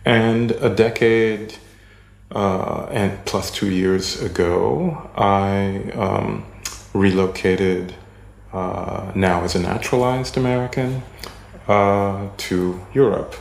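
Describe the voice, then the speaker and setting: Slow, deep, gravelly man in an echoey room. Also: fairly even intonation, a little mellow. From Haiti. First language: French. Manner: halting, many ums and pauses, searching for words. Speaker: interviewee. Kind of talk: reflective interview answers.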